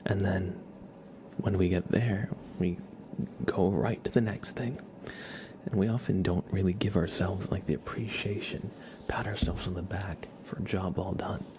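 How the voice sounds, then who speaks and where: A man speaks very low and slowly as an ASMR streamer. His soft voice often drops into a whisper, with a gentle, encouraging manner. Clear ambient noise sits behind him. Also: fairly even intonation. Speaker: ASMR livestream host.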